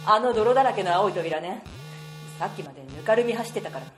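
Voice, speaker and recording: A woman's voice: medium pitch, expressive, quick pace, close to an everyday voice. A woman voicing a brusque, flustered young female time-police agent in an amateur radio drama, with curt, defensive retorts.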